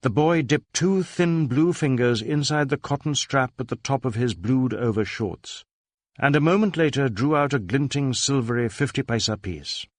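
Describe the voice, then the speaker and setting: A man reading a story as a scripted audiobook narrator, in an expressive storytelling manner. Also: medium pitch, moderate pace, no room sound.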